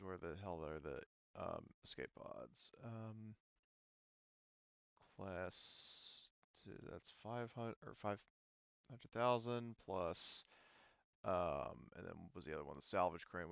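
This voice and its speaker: Male game master, low clean voice, informal and sweary, dry close sound, slightly muffled mic. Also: vocal fry, a bit bright, clean tone, dry recording. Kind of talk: casual tabletop game discussion.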